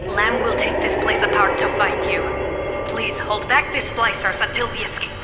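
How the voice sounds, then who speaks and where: An actress playing a grave, remorseful older woman scientist with a German accent, speaking solemnly and deliberately in a video game. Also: medium pitch, fairly even intonation, close to an everyday voice. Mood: urgent. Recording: echoey hall reverb, music behind.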